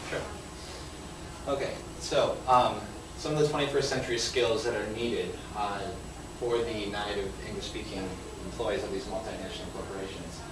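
A man's voice: on the low side, steady tone, moderate pace. A man co-presenting at a conference, partly reading aloud from his slides. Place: conference session room. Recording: very echoey noisy room, distant microphone.

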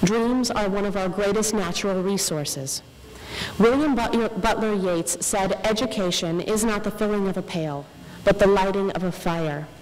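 A young woman giving an earnest, measured inspirational speech as a faculty speaker at a podium microphone. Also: mid-range voice, darkish tone. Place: large indoor ceremony hall, on stage. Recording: reverberant hall with clear background noise.

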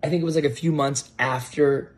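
An American man in his thirties telling a story casually to camera, animated and halting as he repeats words. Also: a little high. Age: 30s. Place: home kitchen, indoors. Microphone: phone propped on table at arm's length.

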